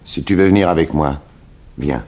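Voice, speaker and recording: A low, deep voice belongs to a cool, worldly detective. He speaks with dry self-assurance and calm authority, in the manner of 1960s French radio drama. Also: normal speed. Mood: teasing, cool.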